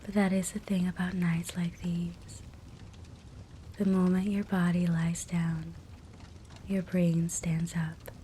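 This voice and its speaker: A woman guiding a sleep hypnosis meditation in a soft, breathy whisper, gentle and soothing. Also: fairly low pitch, takes it slowly, long pauses. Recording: soft steady fireplace crackle noise. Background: fireplace ambience.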